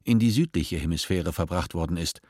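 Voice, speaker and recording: A man narrating a radio play in a measured, serious tone. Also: sits low, a little mellow, no room sound, clean studio sound. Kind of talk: scripted narration.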